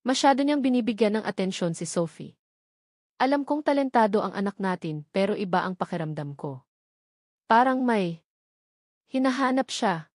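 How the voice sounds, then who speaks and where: A woman's voice: medium pitch, expressive, normal speed, pauses often, darkish tone, no echo, studio quality, natural voice. A woman with a Filipino accent voicing a watchful, protective mother in an audio drama. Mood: worried.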